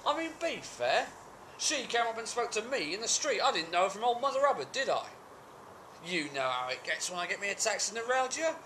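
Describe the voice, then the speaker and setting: Bloke doing cheeky husband, whiny excuses, indignant, bouncy pitch, stage monologue on a slightly noisy home video. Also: male voice, very animated, normal speed, long pauses, bright, forceful tone. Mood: defensive, wheedling. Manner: wheedling, indignant, self-justifying. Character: cheeky, aggrieved working-class husband making flimsy excuses. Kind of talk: colloquial comic stage monologue. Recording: amateur home video with background noise.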